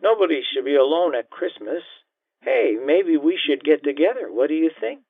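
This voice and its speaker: Guy putting on a tipsy flirty woman, slurry s's, way higher, gravelly, phone-line thin. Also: animated, normal speed, no room sound, full character voice. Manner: slurred, sloppy sibilance.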